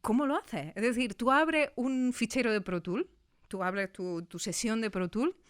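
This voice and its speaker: A woman's voice: on the high side, measured pace. A woman with an accent from Spain conducting a conversational interview, speaking enthusiastically and often checking in with short tag questions.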